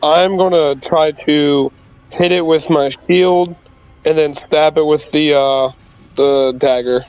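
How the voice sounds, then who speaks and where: A man's voice: a little high, fairly even intonation, slow pace, a little mellow, clean tone, faint music behind, low-quality recording. A man playing in a tabletop game, casually asking rule questions and declaring his character's actions. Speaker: player.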